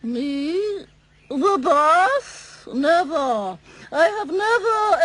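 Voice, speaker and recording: A woman's voice: a little high, exaggerated voice, low-quality recording. An actress voicing a haughty, vain cartoon lady who drawls in a snobbish way. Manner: snobbish and drawn-out.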